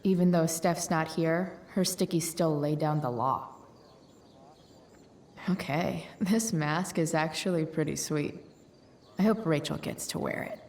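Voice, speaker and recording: A woman's voice: deep voice, unhurried, a little mellow, distant mic, a little hiss or hum. A woman acting a sardonic, rebellious American teenage girl in a video game, with a dry, slangy delivery. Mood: snarky. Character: sardonic rebellious teenage girl, a punkish high-school dropout.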